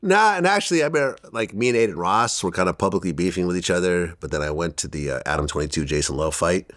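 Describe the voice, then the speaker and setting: A man in his fifties, a guest in a candid interview, telling stories bluntly and dropping his voice low for emphasis. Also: fast, no room sound, studio quality. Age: 50s. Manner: blunt, animated storyteller who drops his voice low for emphasis.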